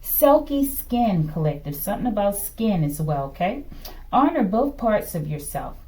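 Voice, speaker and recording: A woman's voice: mid-range voice, very animated, moderate pace, warm tone, slight background noise. A woman giving an improvised tarot reading, thinking aloud in short fragments and often checking in with a questioning tag. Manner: fragmented delivery, murmuring to self, often ending phrases with a questioning "okay?". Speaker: tarot reader, host.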